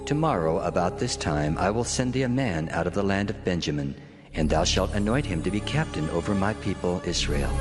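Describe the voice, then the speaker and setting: Divine male figure: deep, majestic, expressive scripture drama delivery. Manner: grave, authoritative. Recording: music behind the voice.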